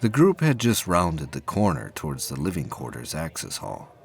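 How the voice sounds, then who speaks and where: A man reading scripted narration for a science fiction audio play, with a husky voice. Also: a bass voice, wildly swooping pitch, moderate pace.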